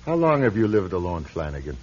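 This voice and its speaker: An older parish priest, kindly and wry. His husky voice moves in animated swings as he gently chides and coaxes. The vintage radio drama recording is dull and muffled. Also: deep voice, brisk. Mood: coaxing. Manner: gently chiding, good-humoured, coaxing. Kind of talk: old-time radio drama acting.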